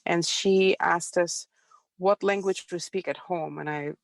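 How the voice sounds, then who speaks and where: A calm, reflective woman with a Russian accent and a lowish voice. She's a Ukrainian-born guest recalling her past with soft laughs. The sound is dry and close to the mic. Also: unhurried, gravelly, no echo. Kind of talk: interview storytelling.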